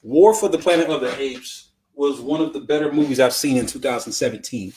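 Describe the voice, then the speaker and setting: A man co-hosting a podcast in casual conversation, talking haltingly with repeats and frequent check-in phrases to the listener. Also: medium pitch, darkish tone, rough recording. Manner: halting, repetitive, peppered with "you know what I'm saying". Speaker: podcast co-host. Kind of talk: casual chat about movies.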